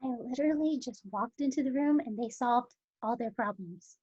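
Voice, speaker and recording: A woman's voice: fairly high pitch, normal speed, darkish tone, slightly rough, lo-fi sound. A woman voicing a grudging, dry alien sidekick who dislikes humans, in a script read-through. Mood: sardonic.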